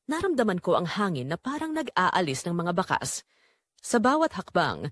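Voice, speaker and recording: A woman's voice: mid-range voice, no echo, studio quality. A woman reading a first-person romantic drama as narrator, in an emotional and solemn dramatic style.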